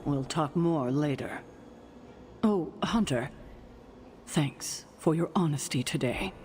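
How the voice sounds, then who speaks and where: A woman playing a grave, caring but reserved leader in a video game, speaking in a measured, composed way. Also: deep voice, a little mellow, soft background music. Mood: reserved, concerned. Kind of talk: video game cutscene acting.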